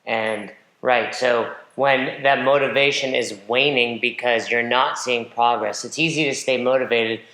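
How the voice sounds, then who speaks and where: A direct, joking man hosting a podcast, his voice at an ordinary middle pitch. He talks like a coach giving straight advice to his listeners. A roomy echo hangs around his voice. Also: fairly even intonation, measured pace, few pauses, pushed and bright. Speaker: podcast host and nutrition coach. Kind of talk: casual podcast chat.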